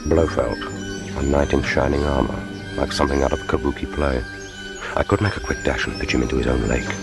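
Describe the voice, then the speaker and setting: An actor playing a vengeful, sardonic lone secret agent thinking aloud in a radio play. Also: male voice, a bass voice, fairly even intonation, brisk, vocal fry. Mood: grimly watchful. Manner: muttering internal monologue, sardonic. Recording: loud music behind the voice.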